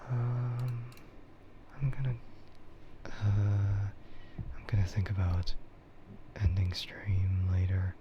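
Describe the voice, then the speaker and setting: A man rambling casually and hesitantly in a soft, breathy ASMR whisper, as a streamer chatting close to the mic. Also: fairly low pitch, level tone, slow pace, pauses often, lo-fi sound, background noise.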